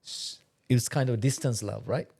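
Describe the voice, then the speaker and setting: A man's voice: medium pitch, normal speed, creaky, darkish tone, husky. A man co-hosting a podcast, asking questions hesitantly and searching for his words. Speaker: podcast co-host. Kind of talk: interview questions.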